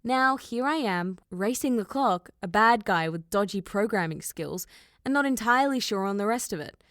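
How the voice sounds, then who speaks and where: A woman's voice: mid-range voice, animated, moderate pace, fairly bright tone, no room sound, clean studio sound, lightly acted. A woman voicing a confident, sarcastic stranded girl pilot in an audiobook, with a breezy, slangy, assertive manner. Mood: wry, sarcastic. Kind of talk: audiobook character dialogue.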